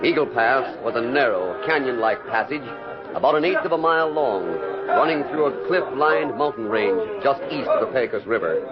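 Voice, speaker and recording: An actor narrating an old radio western in a stately, suspenseful announcer's manner. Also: male voice, mid-range voice, lively intonation, normal speed, lightly acted. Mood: dramatic. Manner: stately, suspenseful, carefully enunciated. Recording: dull vintage radio, loud music behind.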